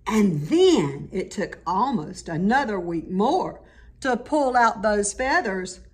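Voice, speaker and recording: A woman's voice: mid-range voice, sing-song, takes it slowly. A woman reading a rhyming children's story aloud with dramatic, emphatic expression. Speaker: storybook reader.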